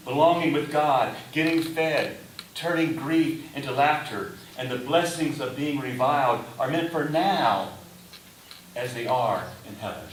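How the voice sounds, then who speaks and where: A man preaching a sermon in a deliberate way, with rhetorical questions and emphatic short phrases. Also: mid-range voice. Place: reverberant indoor room before a congregation. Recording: echoey room, distant mic, faint hiss.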